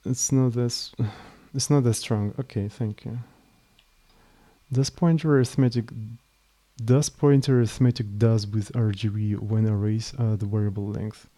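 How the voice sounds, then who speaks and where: A man's voice: fairly low pitch, steady tone, warm tone. Tired Slavic-accented man thinking aloud. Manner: halting, thinking aloud, trailing off mid-sentence. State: mentally tired. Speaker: live-streaming programmer. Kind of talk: casual live coding stream.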